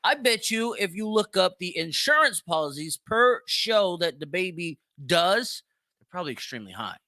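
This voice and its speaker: Bright, forceful man giving blunt commentary. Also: sits high, big pitch swings, moderate pace, no room sound. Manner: blunt, heavy swearing; emphatic, repeats phrases for stress. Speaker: podcast host. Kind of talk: opinionated solo commentary on celebrity news.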